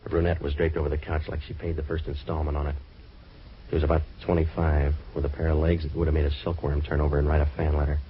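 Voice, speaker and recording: Hard-boiled detective: American, very deep voice, sardonic and slangy, muffled vintage radio sound. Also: male voice, little pitch movement, quick pace. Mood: wry narration. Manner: sardonic, clipped slangy delivery. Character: wisecracking hard-boiled male private detective. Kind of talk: old-time radio detective drama narration and dialogue. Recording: muffled old radio recording with hiss.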